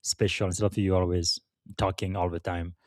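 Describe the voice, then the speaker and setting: A man's voice: deep voice, little pitch movement, fairly quick, a little mellow, no room sound, clean studio sound. A man from Congo in Central Africa hosting a conversational interview, feeling his way through sentences and affirming his guest. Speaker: podcast host interviewing a guest.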